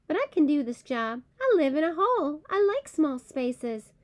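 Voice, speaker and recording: A woman's voice: high-pitched, big pitch swings, moderate pace. A woman voicing a confident, folksy female armadillo visitor in a children's storybook. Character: confident, friendly female Texan armadillo, a stranger.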